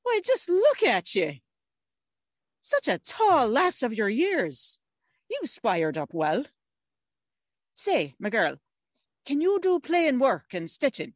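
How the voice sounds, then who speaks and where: A woman's voice: high voice, wildly swooping pitch, slow, long pauses, no echo, studio quality, heavily acted. An actress playing a bossy, fussing older aunt in an audio drama, scolding yet motherly, with rustic phrasing. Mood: appraising, fond.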